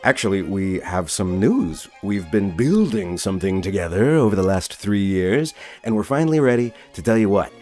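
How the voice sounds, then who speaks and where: A man's voice: low-pitched, big pitch swings, moderate pace, few pauses, darkish tone. A man hosting a podcast, moving between introductions and casual conversation. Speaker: podcast host. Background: music behind the voice.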